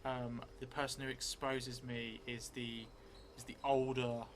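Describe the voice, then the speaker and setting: Middle-aged male solo role-player, slow and halting storytelling, flat delivery, noticeable background noise. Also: medium pitch. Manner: halting, thinking aloud, slowly dictating as he writes. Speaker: solo role-playing streamer. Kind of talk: improvised storytelling while writing and playing a solo tabletop game. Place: indoor theatre room, seated at a table. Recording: clearly noisy room recording.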